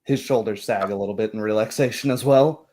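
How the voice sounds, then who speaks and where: A man narrating a tabletop roleplaying game as game master, in a halting, descriptive way. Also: lowish voice, dark tone.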